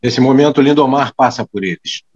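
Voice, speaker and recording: A man's voice: medium pitch, moderate pace, darkish tone, lo-fi sound, lightly acted. A man reading scripted scene narration for an audio drama as its narrator.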